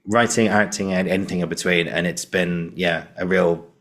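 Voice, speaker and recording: A man's voice: low-pitched, steady tone, normal speed, a bit bright. A man hosting a conversational interview, effusive and given to repeating himself. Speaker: podcast host.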